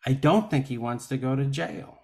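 A man speaking as an actor in a panel discussion on a video call, thoughtful and halting as he reasons aloud. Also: mid-range voice, darkish tone. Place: indoors at home on a video call. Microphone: video call microphone.

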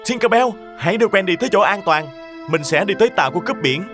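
A man's voice: high voice, expressive, racing, fairly bright tone, soft background music. A man voicing a brave, determined boy hero in a cartoon dub. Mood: urgent, resolute.

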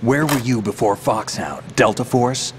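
An actor playing a gruff, world-weary soldier in a video game, gravelly, terse and sardonic. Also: male voice, mid-range voice, unhurried, noisy background, lightly acted. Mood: guarded. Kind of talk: video game cutscene acting.

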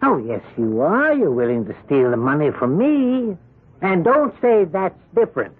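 An actor playing a smooth, menacing bookie with a coolly insistent, politely threatening manner in a 1970s radio drama. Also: male voice, a little high, big pitch swings, normal speed. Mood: needling, insistent. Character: smooth, menacing male bookie and debt collector. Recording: tinny band-limited old radio broadcast.

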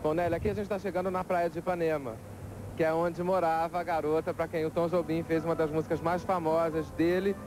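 A man's voice: a little high, little pitch movement. A young man with a Brazilian accent presenting and asking questions as a TV reporter outdoors on a beachfront, speaking upbeat into a handheld mic with music behind. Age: young adult. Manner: upbeat, brisk presenter delivery. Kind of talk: TV show interview and links.

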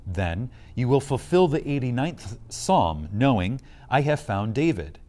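A man giving a reverent, scripted reading of a spiritual lecture, solemn and emphatic, with deliberate pauses. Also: mid-range voice, sing-song, warm tone.

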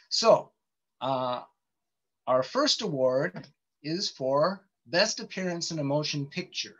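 A man's voice: medium pitch, fairly bright tone. A man giving an informal online lecture over a video call, explaining things with many ums and restarts. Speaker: presenter giving a webinar talk. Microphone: computer mic on a video call. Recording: dull lo-fi call audio.

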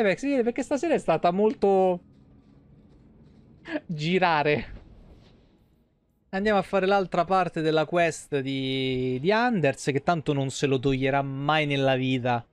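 Higher-pitched young man streaming a game. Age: young adult. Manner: foul-mouthed, animated reactions to game and chat. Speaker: video game live streamer. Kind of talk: casual gameplay commentary. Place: small indoor room at home.

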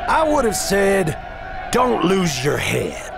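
High, drawn-out, loud squadmate. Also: male voice, huge pitch swings, creaky, music in the background, crowd noise, exaggerated voice. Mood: wry. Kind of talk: video game voice acting.

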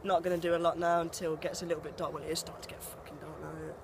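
A man's voice: fairly high pitch, level tone, rapid-fire, creaky. A teenage boy talking outdoors into a phone on a camping trip, blunt and sweary. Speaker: camping friend. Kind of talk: casual chat. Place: outdoors, woods. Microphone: handheld phone. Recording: phone outdoors with background noise.

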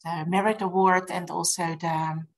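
A woman's voice: fairly low pitch, steady tone, normal speed. A middle-aged woman, a guest in a casual panel on a video call, searching for words in English. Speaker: podcast guest, nurse.

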